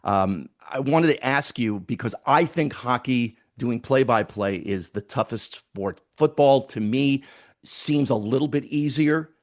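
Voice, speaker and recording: A man's voice: mid-range voice, animated, measured pace. An American man acting as interviewer in a casual conversation about sports.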